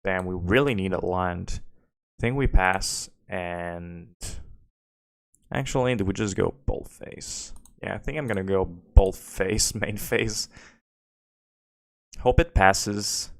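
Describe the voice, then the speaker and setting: A man's voice: sits low, takes it slowly, vocal fry, long pauses, dry recording, studio quality. A French Canadian man whose first language is French, streaming a card game and thinking aloud while answering chat questions. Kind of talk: live gameplay commentary.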